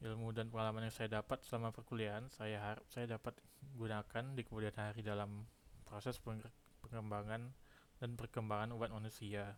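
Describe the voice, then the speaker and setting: A young man from Indonesia speaks in a very flat, fairly low voice. He explains things haltingly, like a student presenting to a camera. Slight noise sits behind his voice. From Pekanbaru, Indonesia. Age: 20s. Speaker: university engineering student. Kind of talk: self-introduction and lecture recap to camera.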